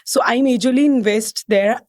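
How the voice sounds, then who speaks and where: A woman's voice: on the high side, normal speed, darkish tone. A woman with an Indian accent, a content creator guest chatting casually in an interview, switching between Hindi and English with many fillers.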